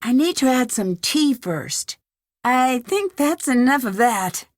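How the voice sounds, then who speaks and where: A woman playing an inquisitive, level-headed young detective thinking aloud in a video game, earnest and crisp. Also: high-pitched, very animated, slow pace, dry recording, clean studio sound, full character voice. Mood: wry, determined.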